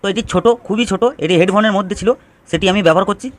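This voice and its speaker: A man's voice: sits high, steady tone, racing, a bit bright. A man giving an informal step-by-step DIY electronics tutorial, explaining and restating phrases as he goes.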